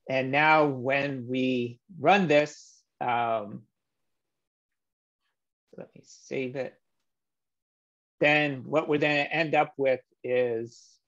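A man in his thirties explains code to a meetup from his desk, his voice a little gravelly and unhurried. He talks in a loose, relaxed way, full of "um"s. The sound comes through a slightly dull video-call mic. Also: on the high side. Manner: relaxed, explanatory, many "um" fillers. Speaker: meetup presenter. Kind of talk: screen-share coding walkthrough. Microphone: webcam or laptop mic on a video call.

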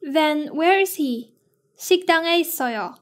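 A woman slowly reading lesson phrases and their translations with an even, spaced delivery. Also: high voice. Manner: slow, evenly spaced delivery. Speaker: lesson reader.

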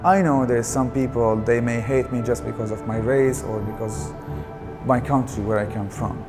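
A man in his thirties with a Syrian Arabic accent talks slowly and thoughtfully in a documentary interview. His voice sits at an ordinary male pitch. A clear music bed plays under his words. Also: fairly even intonation. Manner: soft-spoken, reflective, with restarts. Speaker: artist. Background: background music.

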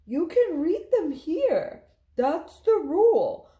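A woman voicing a gentle but rule-bound library lady from a storybook, careful and insistent. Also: very high voice, big pitch swings, slow, reverberant, voice pushed up, exaggerated voice.

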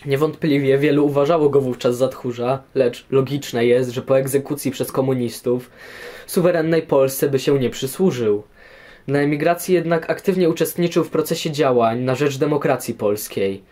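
A young man with an average-pitched voice presents prepared history commentary. He speaks formally, as a guest contributor to an audio programme. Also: moderate pace, mellow.

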